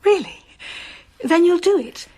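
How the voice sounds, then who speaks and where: An actress playing an affectionate, gently coaxing well-to-do Victorian mother in a radio drama. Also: very high-pitched, animated, measured pace. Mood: delighted. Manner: genteel, coaxing.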